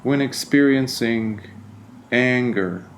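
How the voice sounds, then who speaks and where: Very slow-paced man guiding a meditation. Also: medium pitch, slight background noise. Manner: deliberate, soothing, frequent pauses between phrases. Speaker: meditation guide, Buddhist monk. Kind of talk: guided meditation.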